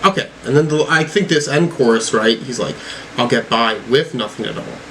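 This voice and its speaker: Male music reactor, mid-pitched, casual and halting commentary, some background hum. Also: fairly bright tone, poor audio. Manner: halting, thinking aloud as he goes. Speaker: music reviewer reacting to songs.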